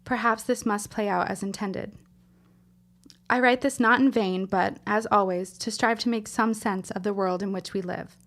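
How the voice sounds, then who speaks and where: A woman's voice: medium pitch, fairly even intonation, moderate pace, a bit bright, close to an everyday voice. An actress playing an earnest, wounded young woman reciting a solemn letter in formal, literary style for a period audio drama. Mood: grave warning. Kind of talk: scripted period drama monologue.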